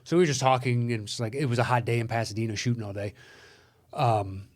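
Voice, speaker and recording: A man's voice: mid-range voice, fairly even intonation, fast. An American man co-hosting a casual film discussion, talking bluntly and swearing often. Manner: blunt, exasperated, frequently swearing. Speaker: podcast co-host.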